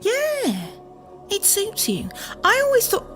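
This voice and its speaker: An actress performs an age-old warrior woman in a comic fantasy drama. Her high voice swoops expressively, earnest and conciliatory, dipping into half-whispers, with faint music underneath. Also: wildly swooping pitch, slow, fairly bright tone, heavily acted. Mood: friendly, tentative. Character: ancient female warrior, earnest, placating, gently incredulous.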